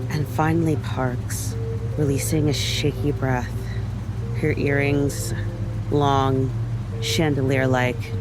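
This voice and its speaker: Slow, even-toned man over loud music. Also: medium pitch, gravelly, low-quality recording. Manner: measured, descriptive. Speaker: player introducing own character. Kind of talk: descriptive tabletop game narration.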